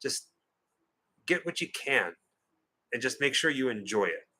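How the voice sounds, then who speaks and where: A man's voice: mid-range voice, sing-song, a little slow, sharp tone. A man in his forties with an American accent, co-hosting a casual livestream and joking while he reads the chat. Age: 40s. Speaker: co-host of a livestream watch party.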